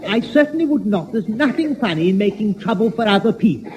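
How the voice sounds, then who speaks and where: A man playing a prim, fussy, easily flustered gentleman in a 1960s radio comedy, speaking precisely with stammering indignation. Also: high voice, animated, fast talker. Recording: reverberant, slightly noisy 1960s radio recording.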